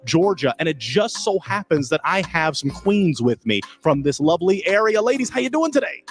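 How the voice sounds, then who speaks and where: An exuberant man hosts a dating show, his fairly high voice bouncing through a lively range at a quick clip. Soft music plays beneath his showman patter. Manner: exuberant, showman, explains rules. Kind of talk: hyped show hosting.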